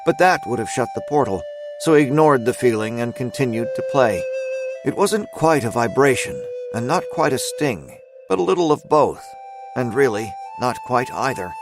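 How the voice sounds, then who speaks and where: A man narrating scripted dramatic fiction in a measured storytelling manner. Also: medium pitch, sing-song, over music.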